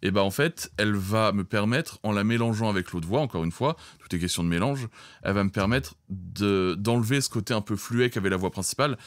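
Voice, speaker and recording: A man's voice: on the low side, a little fast, dry recording. A man teaching audio mixing in an informal, colloquial way, speaking directly to viewers into a close microphone. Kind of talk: informal spoken tutorial.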